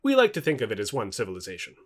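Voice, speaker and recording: Man reading as male AI from the future, devoted, earnest, reassuring, varied pitch. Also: huge pitch swings, racing, slightly rough, exaggerated voice. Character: devoted, eager male artificial intelligence from the future.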